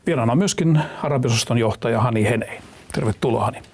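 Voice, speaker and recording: A man's voice: mid-range voice, rapid-fire, darkish tone, slight background noise. Finnish man hosting a TV interview. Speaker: TV interview host. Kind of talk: broadcast interview.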